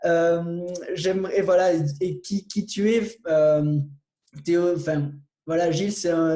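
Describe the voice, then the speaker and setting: High-voiced host in an echoey room. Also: male voice, mellow. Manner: halting, many fillers and restarts. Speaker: conference host. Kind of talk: introducing a speaker.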